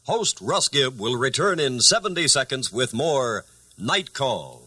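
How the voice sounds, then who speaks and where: A man's voice: medium pitch, sing-song, a little slow, bright, forceful tone, husky, no echo, studio quality. A man reading scripted announcements as a radio show's announcer.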